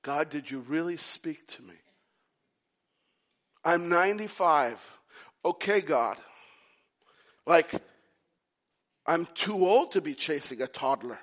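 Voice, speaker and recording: This is a very old man who has waited decades for a promise and keeps asking whether he heard it right, played by a preacher in his own sermon. His pleading questions come around again and again. He speaks in a high voice at an unhurried pace. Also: animated, a bit bright. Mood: weary doubt.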